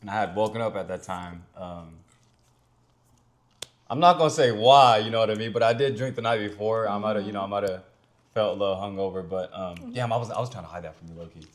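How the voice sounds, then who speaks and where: A man's voice: fairly low pitch, quick pace. A young man with a Vietnamese-tinged accent, chatting casually as a podcast guest in a laid-back, rambling way. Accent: Vietnamese-tinged English. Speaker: guest content creator.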